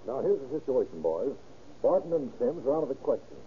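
A very tinny vintage radio recording with faint noise carries an actor as a hard-nosed male detective. He is brisk and commanding, with clipped phrases and widely varied intonation. The style is 1930s crime drama. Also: mid-range voice, sing-song, dark tone, lightly acted. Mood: pondering, confiding.

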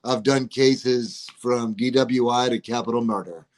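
A man of around sixty, a guest on a podcast, talking in a courteous, measured, slightly formal way. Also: mid-range voice, fairly even intonation. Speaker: guest, attorney and rapper. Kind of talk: casual interview talk.

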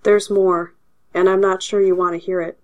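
A woman's voice: medium pitch, little pitch movement, close to an everyday voice. A woman voicing a solemn, self-important male doctor. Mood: grave. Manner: solemn, measured, pompous. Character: grave, haughty male doctor.

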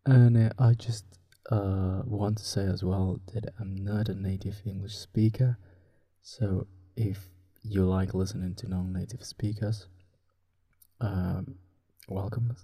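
A man who is a native Spanish speaker with a Spanish accent, speaking softly and breathily in a hushed, unhurried way, as a podcast host helping listeners fall asleep. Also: deep voice, steady tone. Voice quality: soft, breathy, husky. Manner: hushed, unhurried, drifting toward whisper. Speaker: sleep podcast host and story reader. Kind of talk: soft, relaxing bedtime talk and story reading.

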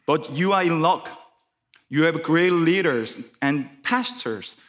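Korean-accented man preaching slowly in church. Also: on the high side, expressive, in a large hall. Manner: careful, halting English with restarts. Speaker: guest preacher. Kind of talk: sermon. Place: church sanctuary.

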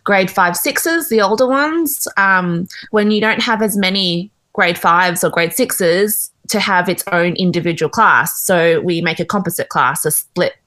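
A woman's voice: fairly low pitch, fairly bright tone. Chatty Australian woman teacher. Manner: chatty, quick, with fillers and laughs. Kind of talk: casual discussion.

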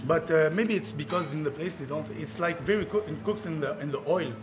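A man in his twenties, born in Tunisia and raised in Montreal, talking casually as a chef guest, halting and searching for words, swearing now and then. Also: a little high, a crowd in the background. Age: 20s. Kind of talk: casual chat.

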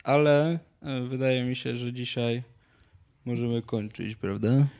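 A man's voice: mid-range voice, fairly even intonation, a little slow, a little mellow. A young man in casual podcast conversation, speaking in a hesitant, self-reflective way. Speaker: podcast co-host.

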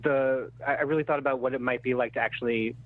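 Halting man in his thirties, phone-like sound. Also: mid-range voice, fairly even intonation, a little hiss or hum. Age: 30s. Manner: halting, self-deprecating, thinking aloud. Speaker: screenwriter, interview guest. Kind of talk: casual interview chat. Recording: thin phone-like radio-style sound.

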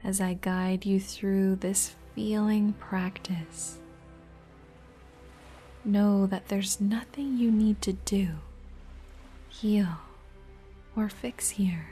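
A woman narrates a guided meditation very slowly in a soft, breathy, half-whispered voice. Her delivery is even and gentle, pausing between short phrases, with music playing behind her. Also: mid-range voice.

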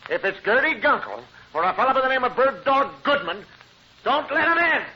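An American actor playing a grumpy, excitable family man in an old-time radio comedy, with blustery, exasperated delivery. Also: extremely high pitch, brisk. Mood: flustered. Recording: muffled, noisy old radio transcription.

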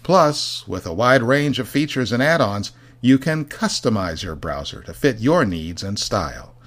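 A man's voice: medium pitch, big pitch swings, unhurried, fairly bright tone. A man reading a polished, scripted advertisement. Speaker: commercial announcer.

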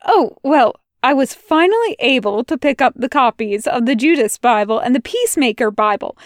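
A woman voicing a fussy, excitable male angel bookseller who chatters eagerly and gets flustered. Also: high-pitched, moderate pace, dry recording, clean studio sound.

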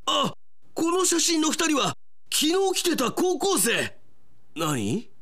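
An actor playing an earnest, excitable middle-aged park manager who talks to himself aloud in a Japanese radio drama. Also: male voice, extremely high pitch, slow, heavily acted. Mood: surprised. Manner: expressive, talking to himself aloud.

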